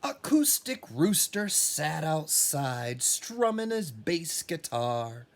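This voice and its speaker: A man's voice: on the high side, very animated, takes it slowly, slight background noise. A man who teaches music, reading a children's storybook aloud expressively with casual, encouraging asides.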